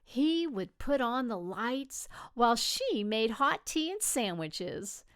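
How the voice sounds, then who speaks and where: A woman reading a children's picture book aloud expressively, with gentle delivery and dramatic pauses. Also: a little high. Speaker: storybook reader.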